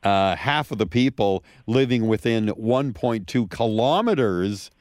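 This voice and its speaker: Brief: low-pitched male radio host, dry studio sound, probing but agreeable. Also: animated, measured pace, no echo. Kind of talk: radio interview.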